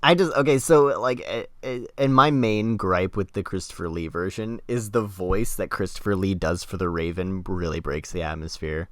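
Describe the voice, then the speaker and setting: A man's voice: low-pitched, normal speed, hardly any pauses, dry recording, studio quality. A man hosting a podcast in casual, conversational review style. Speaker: podcast host.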